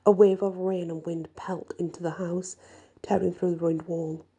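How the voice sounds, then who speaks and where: Gravelly, husky woman reading a story. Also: on the low side, flat delivery, moderate pace, mellow. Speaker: story reader. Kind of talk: dramatic first-person fantasy audiobook narration, ASMR.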